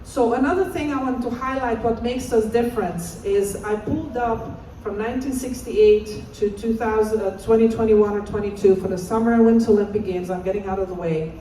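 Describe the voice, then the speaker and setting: Woman, 50s, mellow voice, encouraging conference speaker, echoey hall, distant mic. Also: a little high, a little fast, few pauses, slight background noise. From Romania. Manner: encouraging, asks audience to call out answers. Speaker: assistant professor and presenter. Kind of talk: interactive conference talk.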